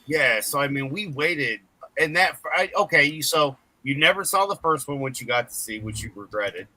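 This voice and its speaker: Male guest, very bright and sharp voice, rambling casual talk. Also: a little high, animated, no room sound, low-quality recording. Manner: rambling, halting restarts. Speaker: podcast guest. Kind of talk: casual group discussion. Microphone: video call.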